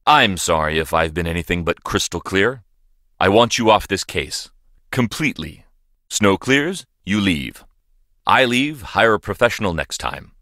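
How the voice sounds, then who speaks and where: A man's voice: fairly low pitch, pushed and bright, no echo, studio quality, full character voice. A man playing a stern, impatient lab director in a video game, bossy and brusque. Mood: irritated.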